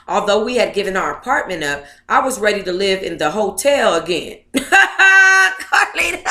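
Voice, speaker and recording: Sassy, bright-voiced woman in an echoey room. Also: medium pitch, big pitch swings, takes it slowly. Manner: sassy, blunt, profane, conversational. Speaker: book club host reading aloud and commenting. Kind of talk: casual read-aloud with running commentary.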